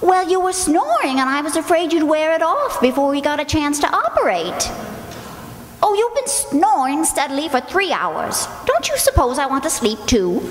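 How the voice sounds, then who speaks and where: Female actor as fretful nagging wife, radio comedy. High, very animated, echoey hall. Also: moderate pace. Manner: fretful, complaining, sighing "oh". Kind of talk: old-time radio comedy acting. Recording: echoey hall, long reverb, background noise.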